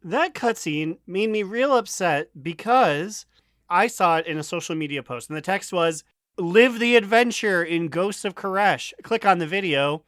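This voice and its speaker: Bright, higher-pitched man in casual chat. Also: expressive, normal speed, no room sound, studio quality. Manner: wry, jokey. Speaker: podcast co-host. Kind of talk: casual chat about a video game.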